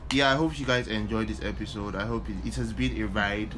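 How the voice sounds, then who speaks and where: A man's voice: on the low side, a little fast, fairly bright tone. A man with a Nigerian accent co-hosting a casual podcast, teasing and joking. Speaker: podcast co-host. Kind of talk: casual chat. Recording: noisy background.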